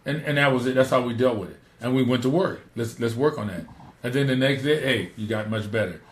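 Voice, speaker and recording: A middle-aged man with a naturally rough voice, hosting a podcast and giving emphatic, repeated advice in casual chat. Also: medium pitch, brisk, reverberant. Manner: emphatic, repeats points for stress. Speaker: podcast host.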